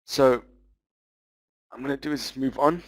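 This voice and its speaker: A man giving a webinar presentation, walking through software features in a loose, explanatory way with frequent pauses. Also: medium pitch, steady tone, no echo. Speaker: business development manager presenting a webinar.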